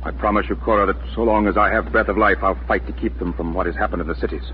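An actor playing an earnest, protective middle-aged father in a measured, emphatic 1940s radio drama style. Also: on the low side, fairly even intonation, slight background noise, lightly acted. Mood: resolute. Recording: muffled 1940s radio recording, music behind.

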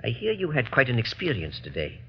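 A lively, widely inflected male voice plays a fearless concert pianist. He is sardonic, mocking and curious, on a faintly noisy old radio recording. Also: mid-range voice, a little fast, lo-fi sound. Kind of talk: old-time radio drama acting.

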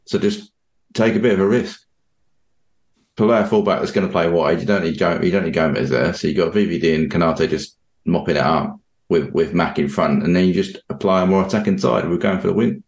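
A man's voice: sits low, a little fast. A man casually discussing football as an analyst on a podcast. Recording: roomy, echoing sound.

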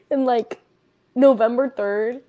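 A young woman chatting as a podcast guest in an expressive, talkative way, full of fillers and quick to laugh. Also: a little high. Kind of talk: casual chat.

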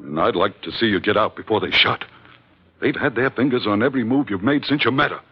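A man's voice: lowish voice, quick pace, slightly rough. An actor playing an earnest, blustery sailor, loyal to his skipper, in a vintage radio adventure. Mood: confiding, urgent. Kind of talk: 1940s-50s radio adventure acting. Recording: dull old radio recording.

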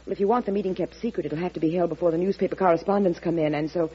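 An actress playing a polite, efficient and deferential presidential secretary in an old radio drama. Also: female voice, fairly low pitch, steady tone, racing, husky. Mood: brisk, deferential. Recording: muffled old radio recording.

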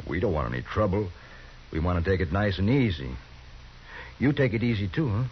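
An actor playing a menacing outlaw gang leader who makes smooth, veiled threats, in a 1950s radio drama. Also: male voice, low voice, lively intonation, brisk, slight background noise. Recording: dull lo-fi 1950s radio broadcast.

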